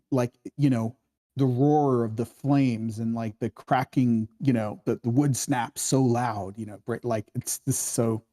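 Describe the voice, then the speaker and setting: A man hosting a casual screenwriting discussion, explaining in a halting way and often checking for agreement. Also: mid-range voice, mellow. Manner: halting, explanatory, keeps seeking agreement with "right?". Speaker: host of a screenwriting table read.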